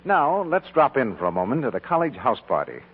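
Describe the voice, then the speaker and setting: A man's voice: medium pitch, very animated, brisk, husky. A man announcing in scripted 1940s radio commercial style, on a dull, hissy old recording.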